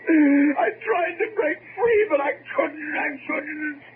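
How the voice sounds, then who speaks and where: A man's voice: very high voice, slow pace. A man playing a terrified, half-mad gothic nobleman in a radio drama, frantic and stammering as he repeats his phrases. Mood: horrified, frantic. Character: terrified, hysterical gothic nobleman haunted by madness. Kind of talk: old-fashioned radio drama acting. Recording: muffled, noisy phone-like radio recording.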